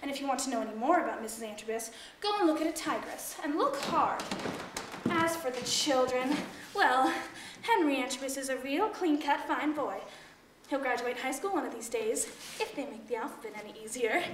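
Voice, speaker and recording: A woman's voice: sits high, brisk, lightly acted. A woman acting a chatty, fretful housemaid and disgruntled actress in a theatrical monologue, with dramatic, emphatic, gossipy delivery. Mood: gossipy, arch. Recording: echoey room with faint noise and clicks.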